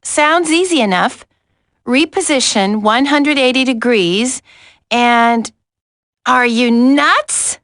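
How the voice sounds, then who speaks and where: Sassy game support specialist: high voice, measured pace, no-nonsense, wry and capable. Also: female voice, fairly bright tone, dry recording, studio quality. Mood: wry, teasing. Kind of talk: video game voice acting.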